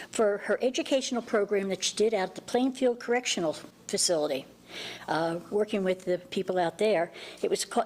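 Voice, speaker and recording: A woman in her sixties introducing a guest speaker at a neighborhood meeting, admiring and a little halting. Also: mid-range voice, darkish tone. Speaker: association treasurer introducing the speaker. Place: community meeting room.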